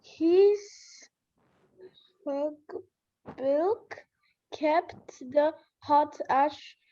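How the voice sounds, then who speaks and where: A child of about seven or eight reading a storybook aloud in a halting, word-by-word way. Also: high-pitched, warm tone, no room sound, lo-fi sound. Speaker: pupil reader.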